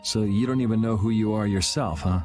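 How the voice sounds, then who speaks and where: A man's voice: fairly low pitch, fairly quick, a little mellow, faint music behind, close to an everyday voice. A man playing a cool young swordsman in video game dialogue, dry, nonchalant and teasing. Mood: dry, probing.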